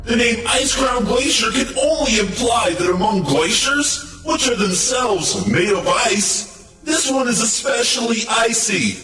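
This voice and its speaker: A man's voice: extremely high pitch, animated, measured pace, bright, forceful tone, soft background music, heavily acted. A man playing a touchy, pedantic giant spider who sounds forever aggrieved, in a comic radio play. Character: indignant, easily offended male giant spider underling. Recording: echoey, distant voice chat.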